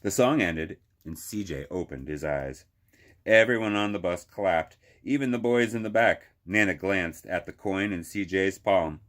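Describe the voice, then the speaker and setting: Man, deep voice, expressive picture-book narration, measured, lingering on phrases. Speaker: children's librarian reading aloud.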